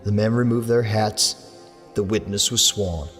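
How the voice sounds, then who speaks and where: An English man reading a horror story aloud as an audiobook narrator, in a measured storytelling manner. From England. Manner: measured storytelling, lifts his pitch for characters' lines. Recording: long hall reverb with soft music under.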